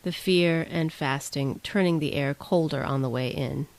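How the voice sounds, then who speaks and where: A woman's voice: sits low, moderate pace, creaky, a little mellow, dry recording. A woman reading literary prose aloud as a story reader.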